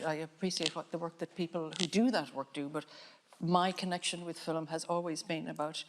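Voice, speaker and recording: An Irish woman, a filmmaker on a panel, giving a reflective talk in a musing, halting way with frequent restarts. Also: deep voice, slight background noise. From Ireland.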